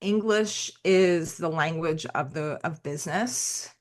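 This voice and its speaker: An American woman speaks as a podcast guest in a slightly low voice. She rambles, stacking asides and restarts, with lively swings in her delivery. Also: takes it slowly. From United States. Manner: talkative, rambling, animated asides. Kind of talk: conversational podcast interview.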